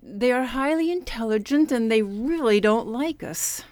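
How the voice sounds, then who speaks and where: Woman playing a high-voiced, exasperated ship's counselor. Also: expressive, moderate pace, a little mellow, no room sound, close to an everyday voice. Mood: snippy. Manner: peevish, sarcastic. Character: exasperated, touchy female empath ship's counselor. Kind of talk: comedic radio theatre parody acting.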